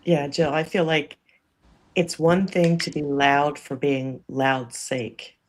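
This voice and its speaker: A woman on a panel who speaks in a measured, earnest way. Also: low-pitched, little pitch movement, slight background noise. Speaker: guest panelist.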